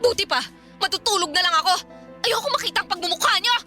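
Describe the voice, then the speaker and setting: A woman's voice: extremely high pitch, fast talker, sharp tone, soft background music. An actress playing a petulant, quarrelsome pregnant young woman who talks back defiantly. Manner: defiant, whiny. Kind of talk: radio drama acting.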